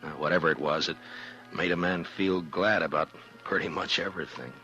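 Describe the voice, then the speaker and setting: An American actor playing an easygoing, reflective frontier marshal who narrates in an old-time radio western. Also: male voice, deep voice, steady tone, a little fast, sharp tone. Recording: muffled old radio recording, music behind.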